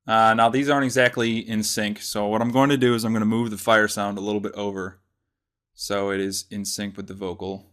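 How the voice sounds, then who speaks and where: A man presenting a casual software tutorial, explaining step by step in an informal way. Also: fairly low pitch, flat delivery, brisk, clean tone.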